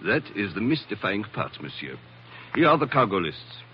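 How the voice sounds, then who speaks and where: An actor with a French accent playing a courteous, guarded shipping line manager in a 1940s radio drama. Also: male voice, on the low side, big pitch swings, normal speed. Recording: muffled, hissy old radio recording.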